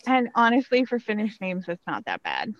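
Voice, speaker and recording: A woman's voice: mid-range voice, wildly swooping pitch, normal speed, dry recording, dull audio. A woman co-hosting a podcast, chiming in with brief reactions. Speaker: podcast co-host. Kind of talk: casual chat.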